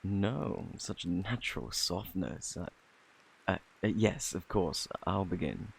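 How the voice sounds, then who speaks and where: A man with a British accent playing a flirty, eccentric fortune teller in a fantasy ASMR roleplay, with a theatrical, boastful, easily flustered manner and a husky, gravelly voice. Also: sits low, lively intonation, slow, a little hiss or hum, heavily acted, rough recording. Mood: smitten, flustered. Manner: theatrical, flustered, coaxing, old-fashioned phrasing. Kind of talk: ASMR roleplay, intimate scripted acting.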